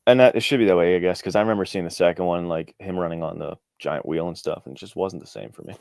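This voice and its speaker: Low-voiced man chatting casually. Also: fast talker, creaky, a little mellow, no room sound, clean studio sound. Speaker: guest, indie author. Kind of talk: casual interview chat.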